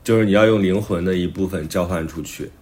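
A man's voice: sits low, quick pace, darkish tone. A man who is an author and podcast co-host, talking reflectively and explaining his thoughts in conversation.

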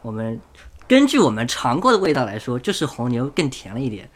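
A man's voice: mid-range voice, big pitch swings, fairly quick. A man co-hosting a motorsport podcast in casual conversation. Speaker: podcast co-host.

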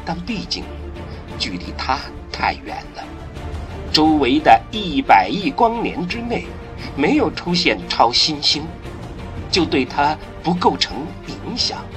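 A man with a high voice narrates a science-fiction radio drama over prominent music. He is solemn and dramatic, pausing weightily and sweeping widely in pitch. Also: sing-song.

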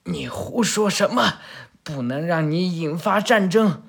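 A man's voice: high-pitched, animated, unhurried, gravelly, husky, full character voice. A man playing a brash young ninja boy. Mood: shouting.

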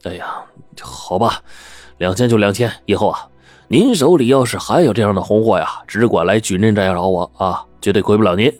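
A man voicing a sly, smooth-talking shopkeeper who speaks softly and ingratiatingly. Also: fairly low pitch, huge pitch swings, normal speed, no echo, soft background music, exaggerated voice. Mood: sly coaxing.

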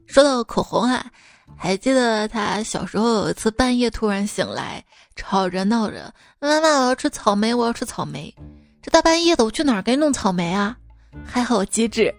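A woman acts as a young mother in a comic bedtime story. She has a slightly high voice and a playful, teasing, coaxing way with her stubborn child. Faint music plays behind her. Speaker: storyteller and performer. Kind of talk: comic bedtime sketch acting and narration.

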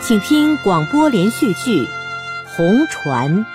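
A woman's voice: medium pitch, big pitch swings, slow. A woman narrating and announcing a radio drama in a formal, solemn, declamatory style. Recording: music under the narration.

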